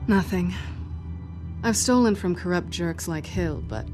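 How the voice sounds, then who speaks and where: A woman acting as a world-weary female cat burglar in a video game cutscene. Her voice sits fairly low, and she talks with guarded, teasing sarcasm. Music plays behind her. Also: measured pace, darkish tone, close to an everyday voice. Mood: weary, reflective. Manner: wry, sardonic.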